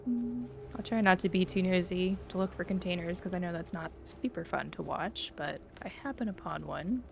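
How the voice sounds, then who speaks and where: A woman's voice: fairly low pitch, normal speed, gravelly, slight background noise. A woman livestreaming a game in a chatty, upbeat way, thinking aloud and reading out viewer comments. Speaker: livestreamer playing an online fantasy game. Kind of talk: casual gameplay chat, reading out viewer comments. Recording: faint game music behind the voice. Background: faint game music.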